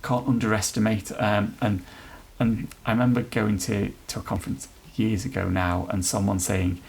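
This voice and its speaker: A man who leads an NHS trust's inclusion work, answering podcast questions in an earnest, halting way with many restarts. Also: fairly low pitch, flat delivery, gravelly, darkish tone, slight background noise, low-quality recording. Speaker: NHS trust leader chairing an inclusion council.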